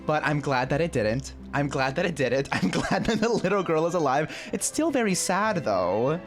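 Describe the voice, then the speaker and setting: A woman game streamer reacts casually and with real investment. Her voice is low but very animated, with faint game music in the background. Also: normal speed. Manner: chatty, emotionally invested reactions. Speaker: streamer playing a story game. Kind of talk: casual live reaction commentary.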